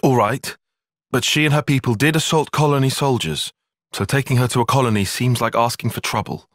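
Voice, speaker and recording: A man's voice: medium pitch, a little fast, a bit bright, no room sound, clean studio sound, close to an everyday voice. A man with a British accent voicing an earnest, cautious young soldier-strategist in a video game, speaking in a precise, formal, articulate way. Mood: measured, formal. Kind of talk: video game cutscene voice acting.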